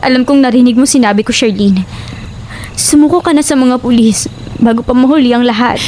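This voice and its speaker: A woman's voice: fairly high pitch, fairly quick, warm tone, slight background noise, natural voice. A woman playing an earnest, worried young friend with an imploring manner in a Filipino horror audio drama. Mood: grave, urging. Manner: urgent, imploring.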